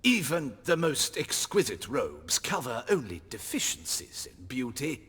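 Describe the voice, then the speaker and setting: Snobbish tailor, hugely animated pitch, slow delivery, husky, prim, pompous, game dialogue. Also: male voice, long reverb tail, full character voice. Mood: offended, snobbish. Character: haughty, fussy male master tailor, prickly snob. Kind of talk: video game voice acting.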